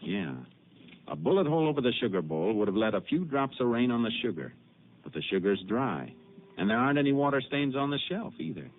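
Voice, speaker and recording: A man with an American accent acting a methodical Texas Ranger in a 1950s radio drama, measured and matter-of-fact as he narrates and plays scenes. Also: medium pitch, sing-song, natural voice. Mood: reasoning. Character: steady, methodical Texas Ranger lawman, male detective. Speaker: lead actor and narrator. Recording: noisy, narrow-band old radio recording.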